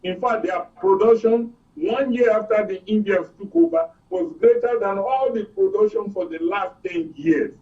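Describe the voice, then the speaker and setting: A man's voice: normal speed, few pauses, dark tone. A man with a Nigerian accent giving explanatory commentary as an expert guest, in short, emphatic statements. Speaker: oil and gas expert guest. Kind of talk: explanatory political and economic commentary. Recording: distant, dull low-fidelity sound.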